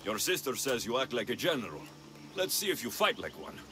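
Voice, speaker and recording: An actor playing a confident male Greek mercenary in a video game, dry and measured in manner. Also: medium pitch, very animated, sharp tone. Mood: wry, teasing. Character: confident wry male Greek mercenary, battle-seasoned adult. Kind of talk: video game cutscene acting.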